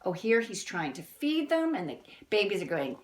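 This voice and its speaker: Expressive woman reading a children's picture book. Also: mid-range voice, moderate pace. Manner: addresses young listeners directly with simple explanations and questions. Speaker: children's story-time reader. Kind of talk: reading a picture book aloud with chatty asides.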